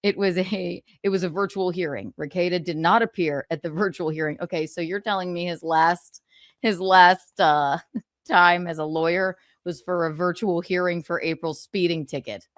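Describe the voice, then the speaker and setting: A woman's voice: on the low side, fairly even intonation, normal speed, no room sound, clean studio sound. A woman streamer reads from a book aloud and breaks off for sarcastic comments and chuckles. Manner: sarcastic asides, frequent chuckles; thanks viewers for donations mid-read.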